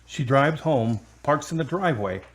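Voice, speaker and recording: Man, narrator, medium pitch, scripted story narration, audio drama. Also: expressive, normal speed.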